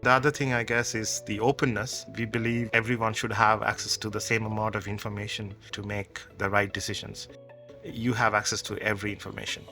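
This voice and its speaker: A man speaks in a low, gravelly yet bright voice. He answers interview questions thoughtfully and conversationally, explaining ideas through examples. Soft music plays underneath. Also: little pitch movement, fairly quick. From Sri Lanka. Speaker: company co-founder.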